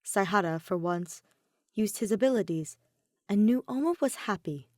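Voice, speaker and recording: A woman narrates a story from a script at a medium pitch. The recording is dry, with little room sound around her voice. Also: lively intonation, moderate pace, a little mellow, no room sound. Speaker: podfic narrator.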